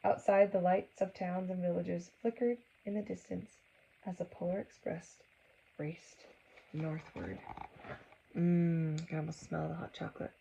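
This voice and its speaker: A woman reading a storybook aloud for children, gently engaging them with asides and questions. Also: lowish voice, fairly even intonation, a little slow, vocal fry, lo-fi sound, a little hiss or hum.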